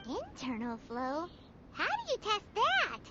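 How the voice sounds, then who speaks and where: A woman voicing a tiny, excitable, childlike female fairy companion in a video game, squeaky and perky. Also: slow, background noise, heavily acted. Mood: curious. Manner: perky, exclamatory. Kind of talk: cartoonish video game voice acting. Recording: dull game audio under music.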